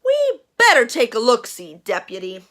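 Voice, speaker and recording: A woman's voice: on the high side, huge pitch swings, normal speed, bright, forceful tone, heavily acted. A woman voicing a young boy who plays at being sheriff, eager and a bit guilty, with an emphatic, self-important way of talking. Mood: bossy, eager.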